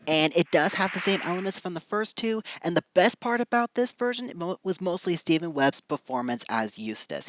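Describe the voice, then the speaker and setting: An amateur reviewer talks through opinions in a casual, emphatic way, often repeating words to drive a point home. The voice sits at a middling pitch. The sound is dry, with very little room around it. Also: a bit bright, no echo. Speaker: amateur film and audio drama reviewer. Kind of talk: casual opinion commentary, ranking adaptations.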